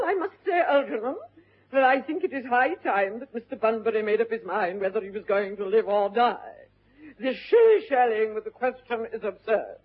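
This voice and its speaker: An imperious, older English society aunt, declaims with deliberate grandeur in a classic comedy of manners. Her intonation swoops theatrically and the tone is husky, caught on a thin, muffled vintage recording. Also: fairly high pitch. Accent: upper-class English. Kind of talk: classic stage comedy radio acting. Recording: muffled vintage narrow-band radio recording.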